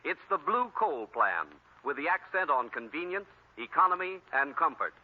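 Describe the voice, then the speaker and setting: A male announcer delivers scripted copy in classic 1940s radio fashion. His fairly high voice is formal and emphatic. The recording is narrow and tinny. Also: sing-song, normal speed.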